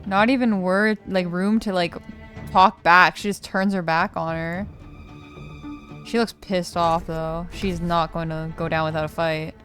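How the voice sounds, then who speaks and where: A woman's voice: on the low side, steady tone, unhurried, fairly bright tone. Casual woman reacting over game music. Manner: reactive, sweary, repeats herself. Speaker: let's player. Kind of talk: casual gaming commentary.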